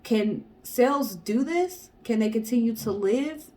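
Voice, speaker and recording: A woman's voice: a little high, lively intonation, slow pace, a little mellow. A woman co-hosting a storytelling show, reading a story in a casual way and addressing the audience.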